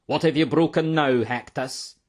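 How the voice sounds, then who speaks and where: A gruff storybook innkeeper, as a male narrator plays him, thundering and scolding. His voice is a little raised in pitch, with a bad-tempered snarl. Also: big pitch swings, normal speed. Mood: angry.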